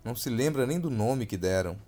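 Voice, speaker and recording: A man with a Brazilian accent reading a scripted essay deliberately, pausing between short phrases. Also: mid-range voice, animated, dark tone. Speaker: podcast narrator reading an essay.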